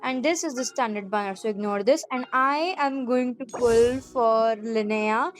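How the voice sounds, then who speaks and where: A woman's voice: a little high, measured pace. A woman hosting a gaming video, talking informally and directly to viewers in casual commentary. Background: faint game music.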